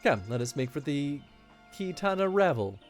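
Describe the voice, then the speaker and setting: A man's voice: a little high, sing-song, moderate pace, soft background music. A man reading out the formal lines of a scholarly game character, stumbling now and then on long words. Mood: earnest. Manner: reads formal dialogue aloud, stumbling over big words.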